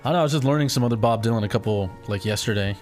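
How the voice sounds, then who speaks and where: A man co-hosting a casual chat show with a raspy voice, talking bluntly and swearing freely. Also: mid-range voice, rapid-fire, a little mellow, soft background music. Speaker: co-host.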